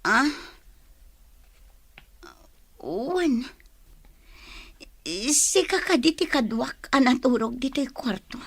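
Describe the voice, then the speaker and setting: A woman's voice: high voice, lively intonation, takes it slowly, full character voice. A young woman acting in an Ilocano radio drama as a stubborn, drunken young woman grieving her sweetheart, with emotional, tearful delivery. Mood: confused, hurried.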